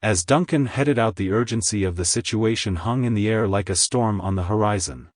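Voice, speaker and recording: A man's voice: on the low side, a little fast, no echo, clean studio sound. A man reading scripted story narration as a storyteller.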